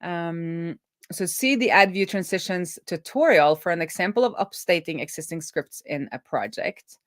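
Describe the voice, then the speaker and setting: A woman with a low voice talks through her code as she works, recorded dry with very little room sound. Her delivery is casual and halting, with sentences that trail off and get restarted as she reasons things out. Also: lively intonation, no room sound. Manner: halting, trailing off mid-thought and self-correcting. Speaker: developer hosting a live coding stream. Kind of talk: thinking aloud while coding, casual.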